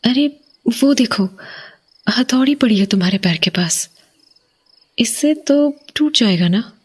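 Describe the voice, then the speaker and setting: Lady acting as worried wife in haunted house drama, breathy n husky, talks really slow and hesitant. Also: on the high side, lively intonation, heavily acted. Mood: lighter, coaxing. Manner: hesitant, hushed, lingering on words. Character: uneasy, suspicious young wife fixated on the mysterious. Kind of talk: Hindi horror audio drama, acted dialogue.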